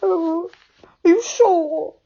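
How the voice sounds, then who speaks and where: A woman voicing a timid male storybook character who whimpers and wails in a high, quavering voice. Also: drawn-out words, creaky, mellow, exaggerated voice. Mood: sniffly. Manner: wailing, whimpering. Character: timid round-faced male tree dweller. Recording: dull lo-fi sound.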